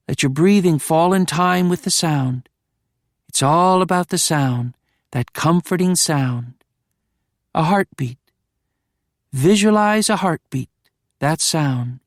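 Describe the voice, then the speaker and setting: A man voicing a calm female hypnotist who speaks in a slow, soothing, hypnotic way. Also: on the high side, no echo, clean studio sound, voice pushed up. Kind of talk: hypnotic induction.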